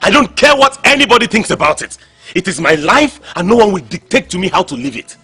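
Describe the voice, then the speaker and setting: An actor with a Nigerian accent playing a grieving, then selfish widower in a drama, given to loud dramatic outbursts. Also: male voice, high-pitched, big pitch swings, brisk, husky, faint music behind, heavily acted. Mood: angry. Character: grieving then selfish Igbo widower, middle-aged man. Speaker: widower husband. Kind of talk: Nollywood drama acting.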